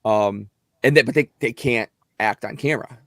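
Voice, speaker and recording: A man's voice: mid-range voice, moderate pace, vocal fry, a bit bright, dry recording, clean studio sound. A middle-aged man with a slightly whiny voice, an actor chatting casually and rambling with many restarts. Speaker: actor and guest. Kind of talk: casual video chat. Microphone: close mic.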